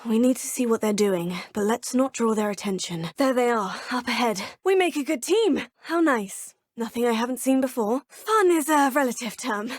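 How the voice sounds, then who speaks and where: Young British witch student, video game, crisp, polite, lightly wry, slightly high voice. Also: expressive, moderate pace, hardly any pauses, no echo. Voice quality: crisp, well-spoken. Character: young female wizarding student, curious and polite. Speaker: player character in a video game. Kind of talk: game voice clips. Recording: dry studio voice-over.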